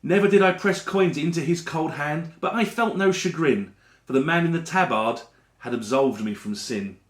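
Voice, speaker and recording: A man performing a rhyming dramatic monologue in a theatrical, deliberate storytelling manner. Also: medium pitch. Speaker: actor reading a monologue. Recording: roomy echo around the voice.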